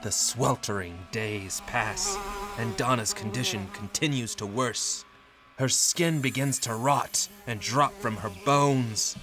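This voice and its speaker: A man's voice: medium pitch, slow pace. Theatrical male horror storyteller over music. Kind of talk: dramatic second-person horror narration.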